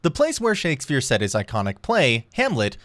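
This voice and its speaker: Man, scripted countdown narration, promotional travel style, highly varied pitch, dry close recording. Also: huge pitch swings, brisk, fairly bright tone, no room sound.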